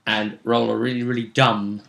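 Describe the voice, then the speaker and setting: Bright, forceful-voiced man chatting casually. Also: lowish voice, level tone, normal speed, creaky. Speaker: game master recapping a tabletop session. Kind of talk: casual chat.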